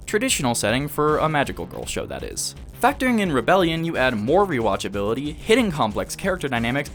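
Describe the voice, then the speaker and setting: A man's voice: a little high, fairly bright tone. A man in his early twenties narrating a scripted video essay as an opinionated, enthusiastic fan. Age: early 20s.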